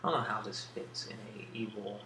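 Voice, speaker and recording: A soft-spoken man answering questions in a casual ASMR style, with a hesitant, musing delivery and pauses between thoughts. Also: fairly low pitch, flat delivery. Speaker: ASMR video creator answering a question tag. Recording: roomy echo with faint background hiss.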